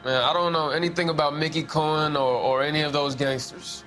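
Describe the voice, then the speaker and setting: A man's voice: on the high side, fairly even intonation, brisk, pushed and bright, soft background music, low-quality recording. An actor playing a defiant, wary Mexican-American ex-serviceman in a 1940s crime video game, streetwise and guarded. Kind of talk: dramatic video game acting.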